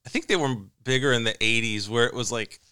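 A middle-aged man with a bright, crisp voice in a middle register. He tells stories casually, as one panelist among several on a talk show. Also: medium pitch, fast talker. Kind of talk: casual anecdote telling.

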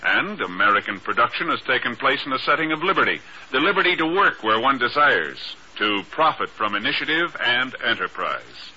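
A man's voice: medium pitch, pushed and bright, husky. A man reading a script as a 1950s radio announcer, formal and emphatic in a declamatory way. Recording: muffled early-1950s radio recording.

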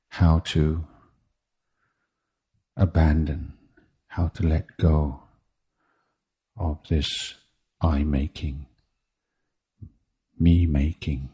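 A man teaching meditation in a guided talk, speaking in measured short phrases with deliberate pauses and a husky voice. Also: a bass voice, dark tone. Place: meditation retreat.

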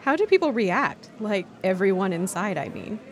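A woman playing a nosy, scandalized teenage camper in an audio drama, talking casually and haltingly in disbelief. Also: medium pitch, sing-song. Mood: curious, shocked. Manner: incredulous, halting casual teen talk. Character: nosy, scandalized teenage girl at summer camp. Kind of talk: naturalistic audio drama dialogue.

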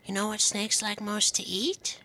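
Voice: medium pitch, flat delivery, unhurried, bright, forceful tone, rough recording. A performer playing a mischievous boy in a snake costume, taunting and chanting eerily in a radio drama. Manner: taunting, chanting, drops into whispers.

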